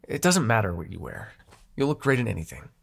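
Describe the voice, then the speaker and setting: Man playing a gravelly, sardonic young demon prince. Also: fairly low pitch, very animated, moderate pace, exaggerated voice. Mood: teasing, fond. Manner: sardonic, teasing, hesitant when showing affection. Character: snarky young demon prince of nightmares, secretly soft-hearted. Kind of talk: intimate audio roleplay addressed to the listener.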